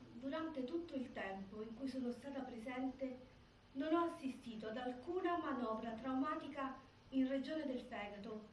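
An actress playing a sober prison doctor in a stage drama, giving measured, formal, clinical testimony. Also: female voice, sits high. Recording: roomy theatre, distant mic, faint noise.

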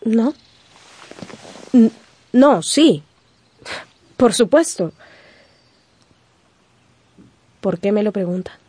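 An actress playing a spirited, guarded young gentlewoman in a radio drama, polite but pointed and direct. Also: fairly high pitch, expressive, slow, husky, no echo, heavily acted. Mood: polite, uneasy.

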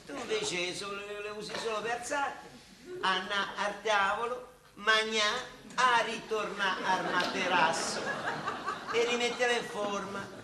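A man's voice: high voice, a little slow. An actor playing a grumbling, blustery middle-aged Roman bricklayer father in a dialect radio drama, speaking with a Roman accent. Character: exasperated Roman father, bricklayer, scolding and worrying over baby. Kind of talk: stage-style dialect radio drama acting. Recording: roomy, distant, noisy stage recording.

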